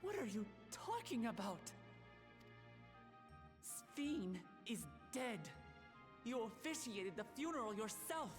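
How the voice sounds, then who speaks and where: Slow-spoken, resolute warrior woman. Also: a little high, sing-song, over music. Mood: bewildered, demanding. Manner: grave, blunt, measured. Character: solemn, resolute warrior woman, troubled and direct. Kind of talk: scripted video game voice acting.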